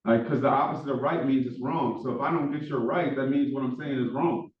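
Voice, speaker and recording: A man with a Philadelphia accent lecturing a class as instructor, talking quickly with lots of filler words. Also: mid-range voice, far from the mic. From Philadelphia, USA. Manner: talks fast with many fillers, says he is monotone. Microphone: far room microphone. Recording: echoey classroom recorded from afar, dull sound.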